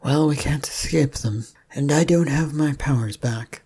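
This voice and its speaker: A woman's voice: deep voice, a little slow, mellow, breathy edge, full character voice. A woman voicing a polite, uneasy male knight in a fantasy story, hesitant but reasoning.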